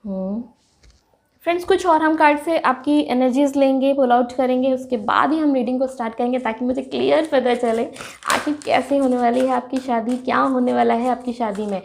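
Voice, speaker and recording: A woman hosting a casual tarot reading with a sore throat, clearing her throat often and chatting excitedly to her viewers as friends. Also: high-pitched, normal speed, a little hiss or hum. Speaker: tarot reader, channel host.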